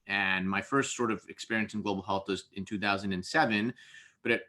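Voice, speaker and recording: Reflective man with a bright voice. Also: fairly low pitch, steady tone, brisk. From India. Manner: reflective, many restarts and repeated words. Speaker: panelist. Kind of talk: panel discussion.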